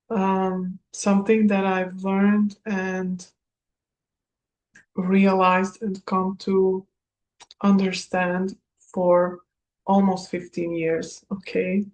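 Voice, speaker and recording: Woman from Serbia, Hungarian native, spiritual coach, unhurried, even monotone delivery. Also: medium pitch, poor audio. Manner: slow, measured, with small laughs. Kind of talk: recorded masterclass talk.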